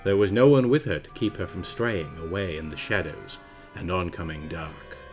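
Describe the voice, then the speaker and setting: Low male voice, theatrical, precise, mock-grave, narrating rhyming gothic poetry, soft music behind. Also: moderate pace. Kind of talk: dramatic reading of gothic rhyming verse. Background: orchestral and jazz film soundtrack music.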